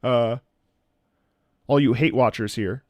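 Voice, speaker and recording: A male streamer chats off the cuff with his viewers. He rambles through his opinions, doubling back on phrases and restarting them. His voice sits at a middle pitch in a dry, echo-free home recording. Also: fairly even intonation, slow. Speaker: streamer and content creator. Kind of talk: casual livestream chat with viewers. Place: indoors at home.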